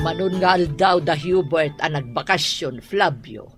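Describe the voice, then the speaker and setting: A woman playing an ill-tempered, wealthy Ilocano madam in a radio drama, with shrill, indignant outbursts. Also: measured pace, faint music behind. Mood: worried, scheming. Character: ill-tempered, scolding wealthy madam, mistress of house servants. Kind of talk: Filipino radio drama acting.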